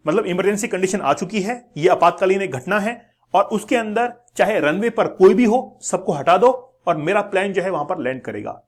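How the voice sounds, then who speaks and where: A man's voice: high voice, expressive, moderate pace. A man with an Indian accent presenting an explanatory news lesson to viewers, going step by step, repeating key words and mixing in English terms. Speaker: educational channel presenter.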